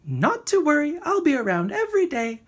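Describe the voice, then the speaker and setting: A woman voicing a cheerful little yellow bird, a female teacher with a singsong, chirpy, exclaiming way of talking, for a children's storybook. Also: sits high, very animated, a little fast, voice pushed up. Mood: cheerful, marveling.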